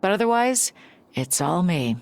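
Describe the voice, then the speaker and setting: A woman's voice: fairly low pitch, sing-song, a little slow, background noise. A woman playing a hard-working, matter-of-fact shopkeeper who is resigned to running the place alone, in a video game.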